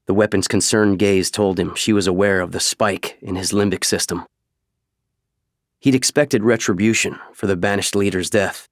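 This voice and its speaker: A man's voice: low voice, no room sound, clean studio sound. A man reading scripted fiction as an audiobook narrator.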